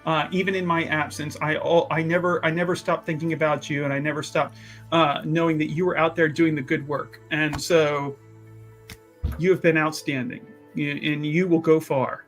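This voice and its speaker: A man acts out a vindictive boss of a shady organization in a tabletop roleplay. The voice is fairly high and bright, with a halting, emphatic, self-important delivery. Music plays behind it. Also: flat delivery, lightly acted. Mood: grandly approving.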